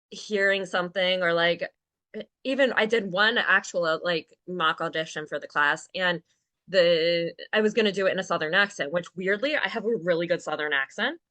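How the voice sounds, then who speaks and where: A woman's voice: fairly low pitch, moderate pace, sharp tone, no room sound. A young adult woman, a podcast guest, telling stories in a chatty, candid way. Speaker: guest, Paralympian and actor. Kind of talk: casual interview chat.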